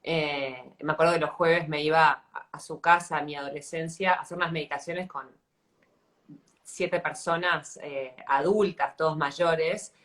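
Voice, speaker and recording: A woman's voice: low voice, steady tone, a little slow, fairly bright tone. A woman in her thirties with an Argentine accent, interviewing in a casual, reflective way as she searches for her words. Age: 30s. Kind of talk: casual live interview chat.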